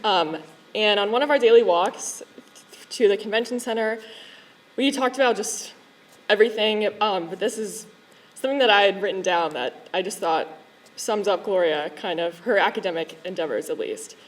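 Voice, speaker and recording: A woman on the school staff giving a warm, chatty tribute speech, telling stories and laughing between lines. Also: medium pitch, moderate pace, poor audio. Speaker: school staff member and chaperone. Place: school event hall.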